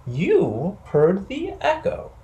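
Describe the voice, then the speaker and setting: A man voicing a wise, calm old beaver in a children's story, explaining things in a measured way. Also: high voice, reverberant, muffled recording, pitched above the performer's own voice, full character voice. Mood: calm, knowing.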